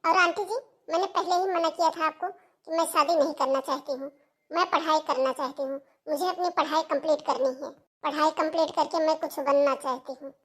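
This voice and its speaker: A woman acts out an aggrieved young woman in a cartoon family drama. She speaks in a very high voice, pleading her case with firm determination. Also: steady tone, fairly quick, fairly bright tone. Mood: protesting.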